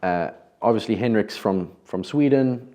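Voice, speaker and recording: Mellow South African man hosting. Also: on the low side, moderate pace. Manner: conversational, with frequent restarts. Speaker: podcast host. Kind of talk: casual podcast conversation.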